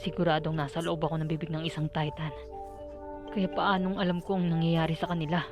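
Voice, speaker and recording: Girl doing young anime soldier guy, low voice, intense anxious inner monologue, music behind. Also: little pitch movement, fairly quick, close to an everyday voice. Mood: tense, pondering. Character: earnest young male soldier, anxious and self-questioning. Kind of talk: dramatic anime fandub monologue.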